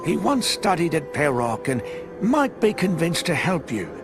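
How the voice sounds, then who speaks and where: An actor playing a grave male monk owl who warns and gives instructions in a video game cutscene, over loud game music. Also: on the high side, very animated, measured pace. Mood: solemn. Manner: solemn, cautioning.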